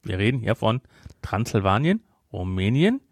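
A man's voice: on the low side, sing-song, moderate pace, slightly rough, no room sound, clean studio sound. A man speaking as a podcast host, discussing a film casually and emphatically, repeating his points to drive them home.

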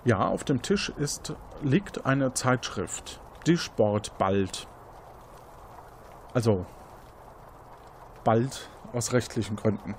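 Conversational male game master, mid-pitched. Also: expressive, slow pace, pauses often, slight background noise. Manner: improvising, conversational. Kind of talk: narrating an interactive detective game.

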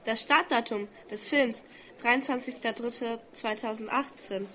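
A woman's voice: on the high side, unhurried, pushed and bright. A teenage girl acting a curious, matter-of-fact schoolgirl in an amateur radio play. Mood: matter-of-fact, puzzled.

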